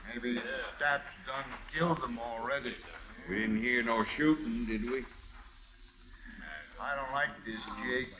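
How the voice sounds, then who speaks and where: Uneasy western bandit man acting, rural american, slow talker, noisy commotion behind, old radio. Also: mid-range voice, steady tone, crowd noise, close to an everyday voice. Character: uneasy, drawling outlaw gang member in the Old West.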